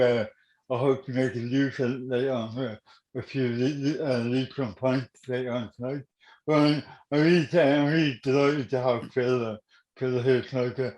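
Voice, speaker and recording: A man with a Dublin accent hosting an online interview informally, halting and often repeating his words. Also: mid-range voice, a little mellow. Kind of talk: informal introduction and questioning at an online event. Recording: roomy, echoing online call.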